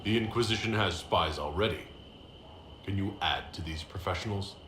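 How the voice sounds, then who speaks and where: A man playing a serious, sceptical hero in a video game, speaking in a formal, measured and dry manner. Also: sits low, fairly bright tone. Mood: sceptical, composed. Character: composed, serious male hero leading an order, sceptical. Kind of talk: video game dialogue acting. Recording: roomy echo, faint background noise.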